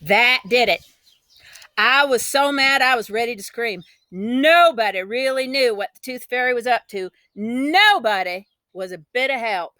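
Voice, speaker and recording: Bright-voiced woman reading a children's story, unhurried. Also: on the high side, expressive, dry recording. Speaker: storybook reader. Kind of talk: expressive children's story read-aloud.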